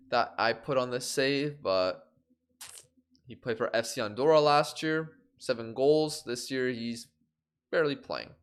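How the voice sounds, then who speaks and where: Lively streamer talking through a game. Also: male voice, mid-range voice, clean tone. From Canada. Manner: animated, reacting aloud to results. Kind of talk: live game stream commentary.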